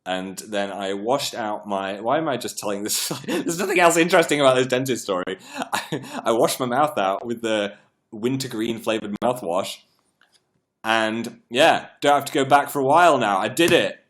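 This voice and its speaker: A British man hosting a casual livestream, chatting in a rambling, self-mocking way with a slightly croaky, froggy voice as it recovers from being lost. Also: mid-range voice, expressive, moderate pace, a bit bright. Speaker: live stream host.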